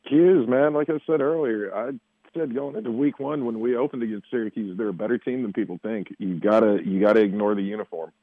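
A man's voice: on the low side, brisk, dry recording. A man on a sports betting panel, confident and wisecracking about the teams. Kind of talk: casual sports talk. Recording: tinny phone-like line.

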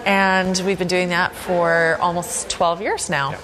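A woman's voice: on the low side, little pitch movement, slow, background noise. A woman with a Canadian accent, a gym trainer, talking in a documentary interview.

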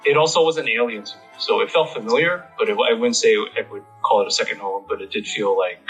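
An American man giving a reflective interview, halting as he searches for words. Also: fairly low pitch, fairly bright tone. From United States. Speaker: interviewee, independent bookstore owner. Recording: slightly muffled, music behind.

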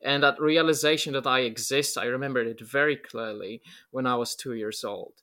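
A man's voice: medium pitch, fairly even intonation, normal speed, pushed and bright. A man, talking casually and reflectively as an interview guest, with lots of filler words. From Poland. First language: Polish. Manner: rambling, reflective, many filler likes.

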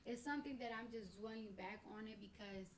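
A woman's voice: a little high, quick pace, a little hiss or hum. A woman with a New York accent, a Spanish native speaker, chatting bluntly as a guest in slangy talk that trails off into restarts. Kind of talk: casual chat.

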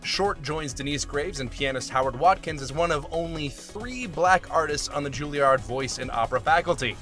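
A man's voice: on the high side, expressive, normal speed, fairly bright tone, faint music behind. A man co-hosting a podcast, moving between scripted news reading and casual banter. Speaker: podcast co-host.

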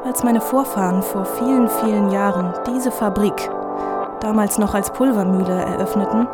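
A woman's voice: mid-range voice, normal speed, loud music behind the voice, lightly acted. A woman playing a cryptic, menacing factory matriarch in her sixties, speaking with portentous deliberation in a German audio drama. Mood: solemn, ominous. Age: older.

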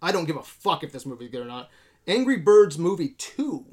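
A man's voice: on the high side, big pitch swings, a little fast, a little mellow. A man hosting a casual movie discussion podcast, talking informally with slang and free swearing. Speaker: podcast host.

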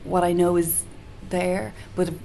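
Soft-spoken woman, hiss behind. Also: on the low side, flat delivery, slow pace, creaky. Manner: soft-spoken, hesitant, many restarts and fillers. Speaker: guest singer-songwriter. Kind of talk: casual interview chat. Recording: noticeable background hiss.

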